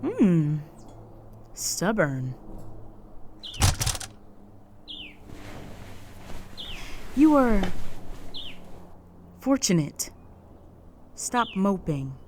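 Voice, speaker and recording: A woman playing a stern, proud, possessive female orc chieftain in a roleplay audio drama, speaking in a formal, measured, authoritative way. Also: medium pitch, very animated, darkish tone, slight background noise. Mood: possessive, exasperated.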